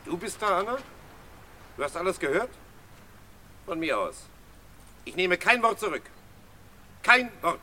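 An actor playing a bitter, contemptuous surgeon in a radio crime drama, speaking in scornful, agitated outbursts. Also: male voice, high voice, big pitch swings, unhurried, pauses often, sharp tone, slight background noise, heavily acted. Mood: contemptuous, angry. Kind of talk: 1970s German radio crime drama acting.

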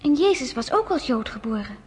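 A woman's voice: high-pitched, sing-song, brisk, a little mellow, husky, heavily acted. An earnest teenage girl. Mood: anxious. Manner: earnest, hesitant, stumbling over words. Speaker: radio drama actress. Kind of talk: radio play narration and acting.

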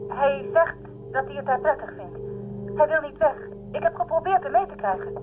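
Female space crew reporter: alert, high register, phone-like intercom filter, sci-fi audio drama. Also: lively intonation, moderate pace. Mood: alert, reporting. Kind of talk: science fiction radio drama acting. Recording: tinny intercom-like band, music behind.

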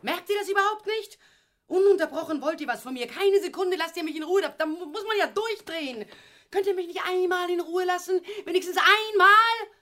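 A woman's voice: extremely high pitch, a little fast, sharp tone. An actress in a radio drama playing a worn-out, exasperated mother of a bickering family. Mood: fed up, frantic. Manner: exasperated, emotional.

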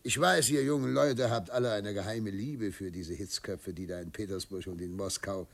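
A man playing an authoritarian middle-aged governor and father in a radio drama, speaking in a measured, declamatory and patronizing way. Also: on the low side, gravelly. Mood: confident, patronizing. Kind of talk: German radio drama acting.

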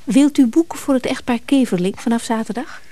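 A woman's voice: fairly high pitch, brisk, warm tone, no echo, natural voice. A woman playing an affectionate, teasing, self-willed middle-aged wife in a radio play, coaxing and playful. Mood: pensive. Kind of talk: naturalistic radio play dialogue.

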